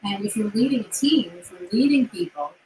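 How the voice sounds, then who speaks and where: A woman's voice: medium pitch, animated, a little fast, a little mellow, poor audio. Middle-aged New York woman, career-coach host, emphatic, upbeat, repeats words for stress, light hiss on the line. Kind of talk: casual interview chat.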